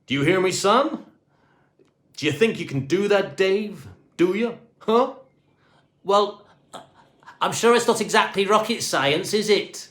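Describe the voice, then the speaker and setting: A man's voice: high voice, unhurried, long pauses, fairly bright tone, reverberant, voice pushed up. A man voicing a nervous, fatherly rocket captain who coaxes and fusses. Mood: coaxing. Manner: coaxing, fussy.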